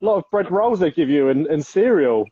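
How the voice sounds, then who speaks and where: A fairly high, husky-voiced man hosting over a video call, the sound dull and dry. He chats easily, laughs quickly and ends remarks with tag questions. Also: animated, dark tone, no echo. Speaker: host. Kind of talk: casual chat.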